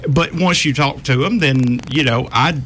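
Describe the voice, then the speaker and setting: A man's voice: mid-range voice, normal speed, a bit bright, slight background noise, poor audio. A man with a Southern accent, a tradesman speaking bluntly and folksily in a casual podcast chat, often laughing mid-sentence.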